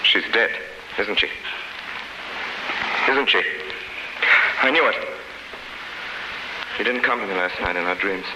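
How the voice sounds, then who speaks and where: An actor playing a weak, lovelorn older man dying in prison, halting and emotional, in 1930s radio drama style. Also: lowish voice. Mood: weary grief. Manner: halting, weary, emotional delivery. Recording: very noisy, scratchy 1939 radio recording.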